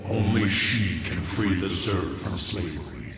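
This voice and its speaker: A man's voice: low-pitched, animated, unhurried. A man playing an ancient, solemn alien warrior spirit in a game cutscene, speaking in formal, grave, archaic phrases. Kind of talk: dramatic game cutscene acting. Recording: echoing voice, distant, over music.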